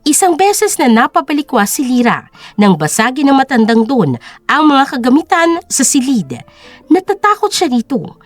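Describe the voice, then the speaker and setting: A woman's voice: high-pitched, no echo. A woman narrating a scripted drama story in a dramatic storytelling style. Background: music.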